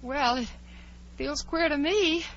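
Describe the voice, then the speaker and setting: A woman's voice: high voice, takes it slowly, a bit bright, heavily acted. A woman playing a curious, chatty, plucky young girl who wonders aloud in a 1940s radio drama. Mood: puzzled, polite. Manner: wondering aloud, exclaiming, polite. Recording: dull, hissy 1940s radio recording.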